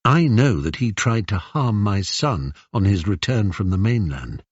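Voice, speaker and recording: A man's voice: lowish voice, expressive, unhurried, dry recording, muffled recording. A man voicing a gracious, weary queen in a storybook, speaking with formal, regal courtesy. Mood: anguished. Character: gracious, weary, dignified queen, a grown woman.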